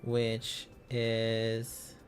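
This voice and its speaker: A man hosting an informal language-learning livestream, thinking aloud in a halting, casual, self-correcting way. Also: medium pitch, completely flat delivery, faint music behind. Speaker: livestream host learning Korean along with viewers.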